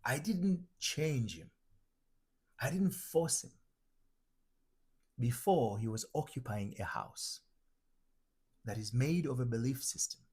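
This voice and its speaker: A man's voice: medium pitch, animated, slow pace, pauses often, darkish tone, breathy edge. A man from Tanzania, an identity transformation coach, giving a reflective motivational talk that circles back and restates its points. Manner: reflective, repeats and restarts phrases while building a point. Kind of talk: motivational teaching monologue.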